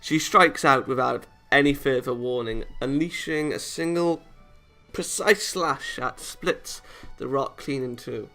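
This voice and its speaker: A man's voice: mid-range voice, a little slow, pushed and bright, faint music behind. A man reading a visual novel aloud in a theatrical storytelling manner, occasionally stumbling over the text. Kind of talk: expressive read-aloud narration of a game.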